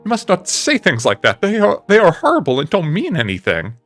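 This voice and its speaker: An earnest, anguished gentleman painter, as performed by a man in an audiobook. His voice sits fairly high and he pleads and preaches with emphatic feeling. Music plays behind him. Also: wildly swooping pitch, brisk, full character voice. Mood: shaken. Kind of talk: dramatic audiobook character reading.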